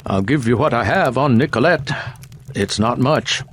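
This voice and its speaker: An actor playing a paranoid, ailing conspirator in a video game, speaking formally and warily, prone to coughs. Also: male voice, mid-range voice, moderate pace, a little hiss or hum, close to an everyday voice. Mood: grave, informative. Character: ailing, paranoid conspirator, a weary grown man.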